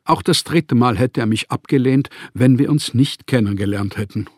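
An actor playing a melancholy, self-mocking male novelist in a radio play, with a laconic, deadpan delivery. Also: mid-range voice, normal speed, breathy edge, dry recording, clean studio sound, close to an everyday voice. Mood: wry, melancholy.

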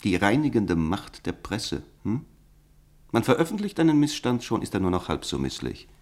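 A sardonic, animated cynic. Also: male voice, fairly low pitch, normal speed. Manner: sardonic, rhetorical, pointed questions. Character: worldly cynical man, probing and lecturing his friend. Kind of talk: German radio play dialogue.

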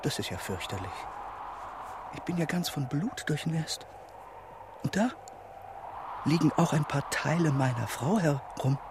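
A bemused, placid man in a radio drama. He muses slowly and with detachment, his pitch moving widely, as if catastrophe were a small nuisance. There is faint noise behind him. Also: animated. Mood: mildly annoyed. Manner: detached, musing, understated. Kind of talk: German radio play acting.

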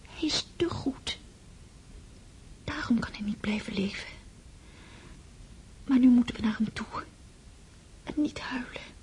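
Actress as sorrowful young woman, high voice, half-whispered, anxious, fraught, literary radio play. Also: unhurried, long pauses, natural voice, low-quality recording. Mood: grave, anguished. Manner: hushed, breathy, fraught. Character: Natasja, anxious sorrowful young woman nursing dying man. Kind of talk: literary radio drama acting.

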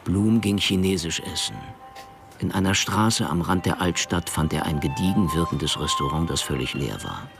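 A man narrating a crime radio drama in a dry, measured storytelling manner. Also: deep voice, expressive, gravelly, over music.

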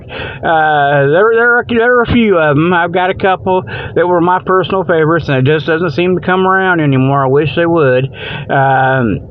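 A man hosting a comedic radio show in a rambling monologue, with folksy colloquial grammar and frequent little tag phrases. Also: on the high side. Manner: repeats "okay" and "you know" as tags. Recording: dull lo-fi radio-like sound.

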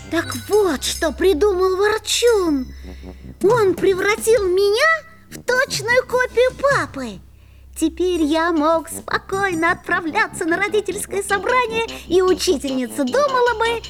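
High-pitched excitable schoolboy. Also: female voice, measured pace, soft background music. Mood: excited, storytelling. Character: excitable mischievous schoolboy telling his own adventure. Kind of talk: children's audio play narration and acting.

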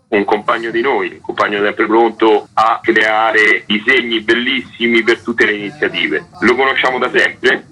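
An event organizer answering a radio host's questions. His voice is husky and bright, and he keeps a steady, earnest pace. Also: lowish voice, low-quality recording. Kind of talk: radio interview guest.

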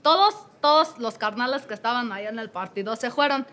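A woman's voice: on the high side, expressive, fairly quick, fairly bright tone. A woman with a Mexico City accent playing a brash woman who talks in street slang. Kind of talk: comedic radio play acting.

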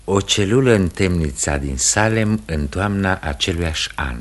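An actor as a solemn narrator, reading scripted scene-setting for a radio play in a measured, literary way. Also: male voice, deep voice, animated.

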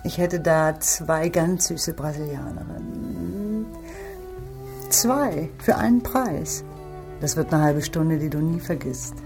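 An actress playing a brothel madam in a German radio crime drama, her voice husky and breathy. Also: deep voice, slow pace, music in the background, exaggerated voice. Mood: sultry, coaxing. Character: brothel madam, sultry saleswoman, frightened when pressed.

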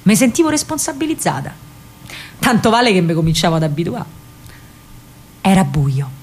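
A woman's voice: fairly low pitch, lively intonation, darkish tone, slightly rough, rough recording. Spirited young Roman partisan girl. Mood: hushed, careful. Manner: vivid, earthy storytelling with deliberate pauses. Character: spirited young working-class Roman woman, wartime partisan courier. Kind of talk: dramatic first-person monologue in Roman vernacular.

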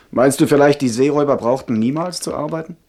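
A man acting a young gentleman in a 1970s radio drama, amused, teasing and a little condescending. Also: medium pitch, a little fast, close to an everyday voice. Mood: teasing, sceptical.

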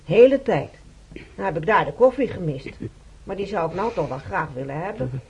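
An actress playing a chatty, naive, self-concerned hospital patient in a radio play, speaking emphatically. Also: female voice, on the low side, expressive, normal speed, darkish tone. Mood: demanding, wheedling. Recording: faintly noisy radio play recording.

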